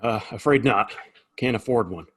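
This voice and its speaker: An actor playing a nervous, deferential small-town barkeep in a Western audio play, with a hesitant, stammering delivery and a husky voice. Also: male voice, on the low side, moderate pace, close to an everyday voice. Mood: guarded, polite.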